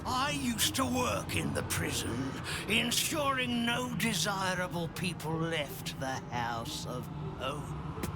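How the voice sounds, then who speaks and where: An actor playing a spiteful, sadistic infernal portal keeper who sneers and relishes each word in a video game cutscene. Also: male voice, high voice, sing-song, takes it slowly, exaggerated voice. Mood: haughty. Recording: loud game music under voice.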